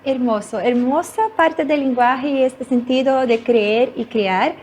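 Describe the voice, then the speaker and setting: A woman's voice: high-pitched, a little slow, darkish tone, a little hiss or hum. A woman hosting a TV interview, speaking in an effusive, admiring way. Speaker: TV host.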